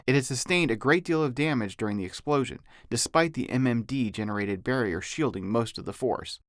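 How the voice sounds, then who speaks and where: A man's voice: medium pitch, fairly quick, no echo, studio quality. A man, an author, reading his own novel as scripted audiobook narration.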